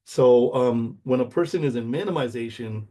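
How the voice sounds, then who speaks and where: A man's voice: medium pitch, moderate pace, mellow. A middle-aged man from the United States whose first language is Spanish, giving an informal lecture in an explanatory way, checking in with short tag questions. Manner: explanatory, checks in with "okay?" and "right?".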